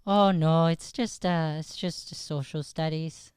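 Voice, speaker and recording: A man's voice: a little high, steady tone, takes it slowly, smooth, no room sound, studio quality. A man voicing a shy, anxious Irish schoolboy who stammers hesitantly in an improvised radio comedy. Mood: earnest, shy. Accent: Irish, put on. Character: timid, socially anxious young Irish schoolboy. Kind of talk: improvised old-time radio comedy play.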